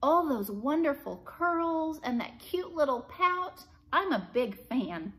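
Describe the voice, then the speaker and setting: A woman reading aloud the part of a chatty, gently probing woman in a storybook. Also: high voice, very animated, takes it slowly, voice pushed up, exaggerated voice. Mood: delighted, amazed. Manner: chatty, leading questions. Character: Alice, chatty adult woman, delighted then gently probing. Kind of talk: storybook character dialogue read aloud.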